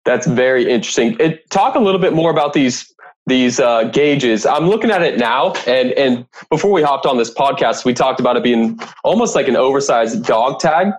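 A man's voice: mid-range voice, expressive, normal speed. A man hosting a podcast interview, asking questions in a polite, encouraging way. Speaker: podcast host.

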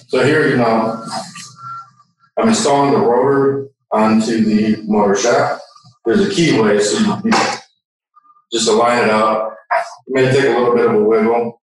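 A man's voice: lowish voice, steady tone, normal speed. Distant, echoey man demonstrating equipment. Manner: informal, pointing things out. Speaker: product demonstrator. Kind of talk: hands-on technical demonstration. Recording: distant mic in very echoey room.

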